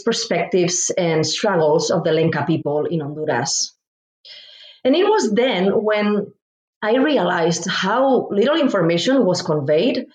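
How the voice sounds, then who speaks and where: A woman from Spain, a professor giving measured, thoughtful interview answers and pausing to find her words. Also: mid-range voice, a little mellow. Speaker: guest author, professor. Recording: roomy echo.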